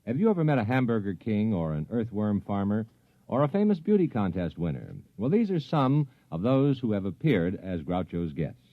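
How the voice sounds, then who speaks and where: Lively low-voiced male announcer, tinny radio. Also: normal speed, no echo. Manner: upbeat, salesmanlike. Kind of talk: 1950s radio promotional announcing. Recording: tinny, narrow-band old radio broadcast.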